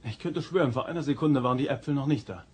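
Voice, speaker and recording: An actor playing a confident, polite starship first officer in a German audio drama. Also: male voice, medium pitch, fast talker, a little mellow, slight background noise, lightly acted. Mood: polite, assured.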